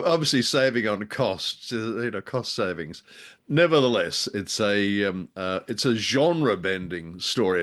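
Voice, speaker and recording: A male podcast host with a lowish voice. He chats casually in a playful, mock-dramatic way, in the manner of easy co-host banter. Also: a bit bright. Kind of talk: casual scripted-feeling banter.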